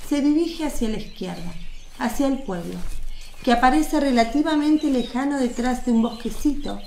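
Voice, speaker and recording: A woman narrates a religious radio drama from a script. Her voice is slightly high and sounds distinctly roomy, with birdsong and some noise behind it. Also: normal speed, vocal fry, dark tone.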